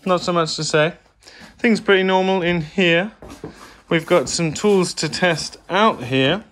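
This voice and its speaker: A man's voice: high voice, measured pace, a little hiss or hum. Guy filming a chill farm tour on his phone, easygoing, chatting with a kid, outdoors. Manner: relaxed, gentle, chatty with a child. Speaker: farmer giving a farm tour. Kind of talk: casual walking farm tour vlog. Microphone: handheld phone camera.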